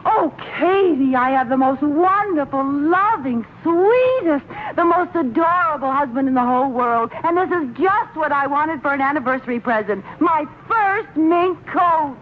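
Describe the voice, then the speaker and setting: High-pitched, gushing radio housewife. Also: female voice, lively intonation, a little slow, hardly any pauses. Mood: overjoyed. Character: excitable, gushing housewife with an anniversary gift. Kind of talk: 1950s radio comedy acting. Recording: tinny, noisy old-time radio recording.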